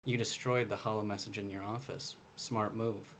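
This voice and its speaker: Man reading as cold, low-voiced villain commandant. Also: fairly even intonation, normal speed, a bit bright, a little hiss or hum, close to an everyday voice. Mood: cold threat. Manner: cold, menacing. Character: cold, cruel male military commandant, a villain. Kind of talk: novel reading.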